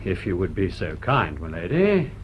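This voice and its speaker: An actor playing a discreet manservant in a radio drama, formal, measured and deferential. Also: male voice, low-pitched, huge pitch swings. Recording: muffled audio with clear noise.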